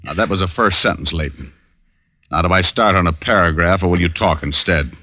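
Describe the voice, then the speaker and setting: An actor playing a tough, wry, sarcastic private detective in an old hardboiled radio drama. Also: male voice, low voice, fairly quick, sharp tone, heavily acted. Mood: menacing. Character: wisecracking, tough, sardonic male private detective. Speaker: lead detective and narrator. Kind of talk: 1940s hardboiled radio acting. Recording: dull old radio recording with music.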